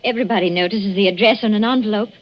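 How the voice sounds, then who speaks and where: An actress playing a guarded, uneasy young wife in a radio horror drama, clipped and defensive in manner. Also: female voice, mid-range voice, rapid-fire, natural voice. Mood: guarded, curt. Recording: dull, muffled vintage radio recording.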